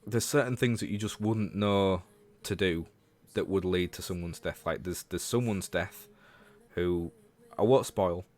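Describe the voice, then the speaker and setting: A man's voice: low voice, a little slow, a little mellow. A man from Leeds with a Northern English accent, chatting casually about games as a livestream host and talking to viewers. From Leeds, England.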